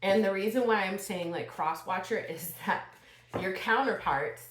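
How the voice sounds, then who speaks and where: A woman giving a channeled tarot reading to her viewers, speaking in a slow, spiritual way and sniffling a little from a cold. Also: mid-range voice, background noise. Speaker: tarot reader. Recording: echoey room.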